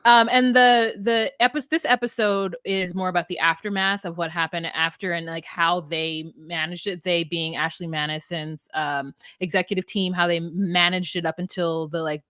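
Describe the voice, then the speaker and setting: A woman's voice: fairly low pitch, steady tone, moderate pace, hardly any pauses, a bit bright, clean tone, no room sound, studio quality. Chatty laughing woman podcast host. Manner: chatty, laughs often, rambling asides. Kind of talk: casual chat.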